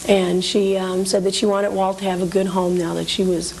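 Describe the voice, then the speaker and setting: Creaky-voiced woman in casual interview. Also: medium pitch, level tone, moderate pace, crowd noise, poor audio. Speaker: guest, store owner and collector. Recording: hissy with faint background noise.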